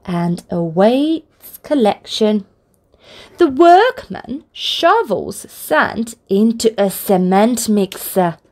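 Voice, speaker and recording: A woman reading a picture book aloud for toddlers, with deliberate, expressive phrasing and encouraging asides. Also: mid-range voice. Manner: deliberate, expressive phrasing aimed at small children. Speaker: children's storybook reader, channel host.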